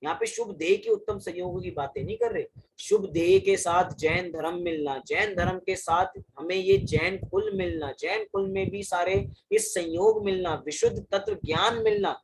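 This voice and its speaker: A man's voice: sits high, normal speed, a little hiss or hum, low-quality recording. A man giving a religious discourse on verses, asking the audience questions, answering them himself and repeating phrases for emphasis. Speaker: Jain scholar giving a discourse.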